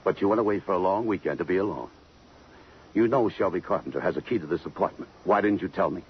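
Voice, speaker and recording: Man acting hard-nosed detective, low voice, American accent, blunt, slangy, old radio drama, muffled hissy broadcast. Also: fast talker, lightly acted. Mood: probing. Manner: blunt, pressing interrogation, slangy. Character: tough, persistent male police detective lieutenant.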